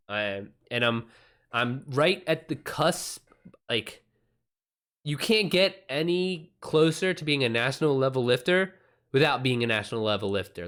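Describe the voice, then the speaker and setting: A man's voice: medium pitch, fairly bright tone. A man chatting casually on a livestream, giving loose, informal running commentary and swearing freely. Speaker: live streamer.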